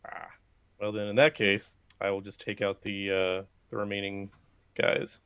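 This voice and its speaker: A man's voice: lowish voice, monotone, measured pace, fairly bright tone, smooth. A man chatting casually as a player in a tabletop roleplaying game.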